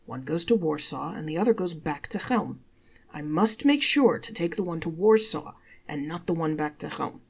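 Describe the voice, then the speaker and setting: A woman's voice: sits low, lively intonation, moderate pace, gravelly, husky, full character voice. A woman voicing a naive, dreamy village man from a folktale, earnest and full of wonder. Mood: pleased, reasoning. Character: naive dreamy Chelm villager Reb Selig, adult man, easily amazed.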